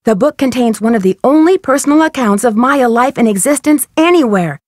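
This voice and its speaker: A woman playing a young American amateur detective in a mystery video game, polite, earnest and inquisitive, speaking in crisp scripted lines. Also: on the high side, lively intonation, a little fast, a little mellow, dry recording, studio quality, close to an everyday voice. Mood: cheerful, eager.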